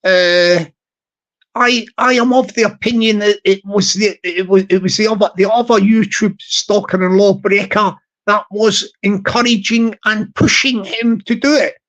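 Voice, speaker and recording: A man with a high tenor voice hosting a live stream in slow, rambling casual chat full of fillers and repeated words. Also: fairly even intonation, a bit bright. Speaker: YouTube live stream host.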